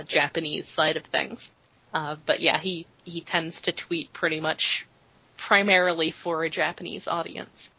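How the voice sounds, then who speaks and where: A woman's voice: fairly low pitch, sharp tone, husky. A woman hosting a podcast in casual chat, rambling and chatty, with plenty of fillers while recapping. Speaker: podcast host. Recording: dull lo-fi podcast audio.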